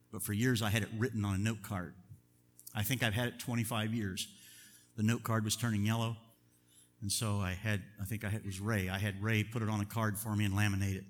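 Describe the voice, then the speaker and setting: A middle-aged man, a pastor, preaching a sermon in an earnest, measured way, slowing down to stress key words. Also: lowish voice, a bit bright. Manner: earnest, measured preaching with deliberate slow emphasis. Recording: long reverb of a large hall.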